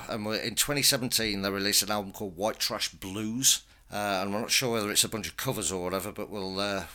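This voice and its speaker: A man's voice: lowish voice, bright, forceful tone. A man hosting a casual album-review podcast, chatty and jokey, rambling a bit between thoughts. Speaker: podcast host. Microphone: home podcast mic. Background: rock music playing.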